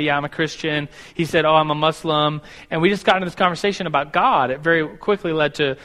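A man's voice: on the high side, fairly bright tone. A man in his thirties teaching a class about God in a loose, rambling way, correcting himself as he goes, in a classroom with a board. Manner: rambling, self-correcting, stumbles over words. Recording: long hall reverb.

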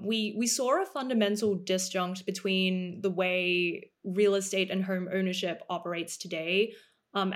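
A woman in her thirties from Australia, a startup co-founder explaining her business in a podcast interview, polished and measured with frequent "um"s. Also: lowish voice. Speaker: guest, startup co-founder and CEO.

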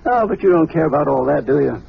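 A high, creaky eccentric old scientist. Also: male voice, expressive, a little fast. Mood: grandiose, scornful. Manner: pompous, pedantic, chuckling. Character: eccentric, irascible older male scientist, self-important inventor. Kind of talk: old-time radio drama acting. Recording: muffled, noisy vintage radio recording.

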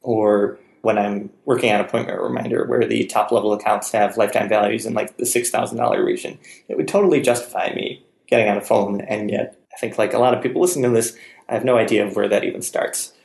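A man hosts a casual podcast in a chatty, rambling way, poking fun at himself. His voice has a gravelly fry. The room around him is echoey. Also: on the low side, fairly even intonation, fast talker, darkish tone. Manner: chatty, rambling, self-deprecating. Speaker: podcast host. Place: room in Palo Alto, California.

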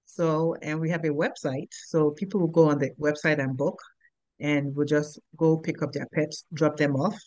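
A middle-aged woman with a Nigerian accent, answering interview questions in an unhurried way with pauses. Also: sits low, little pitch movement, darkish tone, dry recording. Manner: unhurried, simple sentences with pauses and fillers. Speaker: guest, business owner.

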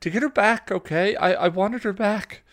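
A man performs an angry teenage girl in a storybook drama, hurt and defiant toward an older sibling. The pitch is lifted high, with stammering, emotional accusations. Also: moderate pace, fairly bright tone. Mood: defiant, upset.

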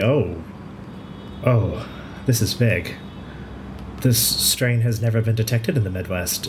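A kindly, considerate hospital pathologist played by a man in an audio drama. The talk is brisk and chatty, thinking things through aloud. Ambient noise is clear behind the voice. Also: on the low side, lightly acted.

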